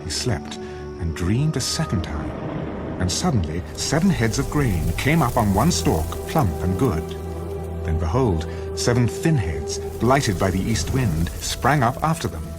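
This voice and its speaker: Deep, expressive male scripture narrator. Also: measured pace. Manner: solemn, dramatic storytelling. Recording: studio narration with music underneath.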